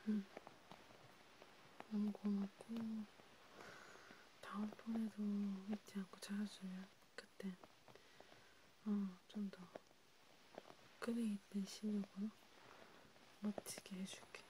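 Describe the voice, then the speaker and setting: A woman whispering softly and breathily in a gentle, soothing ASMR roleplay, with pauses and murmured acknowledgements, as if chatting to one listener. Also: mid-range voice, poor audio. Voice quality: whispery, breathy soft speech. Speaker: ASMR creator, host. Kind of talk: ASMR hand-massage roleplay, soft one-sided chat. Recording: clear steady background hiss, ambient noise.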